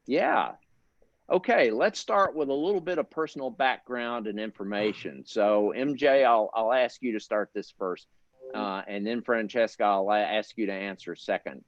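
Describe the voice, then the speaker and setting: A middle-aged man moderating a virtual panel discussion, polite and measured, with small restarts. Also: fairly low pitch, dry recording, highs cut off. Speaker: host, institute director.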